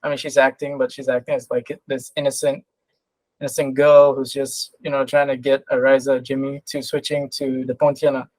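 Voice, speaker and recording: A woman's voice: extremely low pitch, level tone, a little fast, a little mellow, dry recording, rough recording. A woman hosting a podcast interview, asking questions in a casual, conversational style. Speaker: podcast host and writer.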